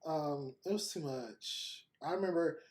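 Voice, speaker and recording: A man's voice: fairly high pitch, measured pace, slight background noise. Chatty podcast host in his thirties. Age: 30s. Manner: chatty and animated, laughs often. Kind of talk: casual commentary while browsing and reading aloud.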